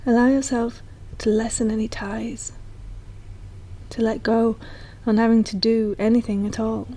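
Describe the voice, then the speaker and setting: A woman leads a guided meditation in a breathy, mellow voice. Her tone sits a little high and stays very even, with little rise or fall. She speaks softly and soothingly, with an unhurried delivery. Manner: soft, slow, soothing delivery.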